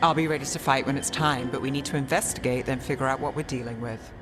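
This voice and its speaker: A woman playing a cool, sardonic investigator in a fantasy video game, with a dry and measured way of talking. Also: extremely low pitch, steady tone. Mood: cool, wry. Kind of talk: video game cutscene acting. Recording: loud music behind the voice.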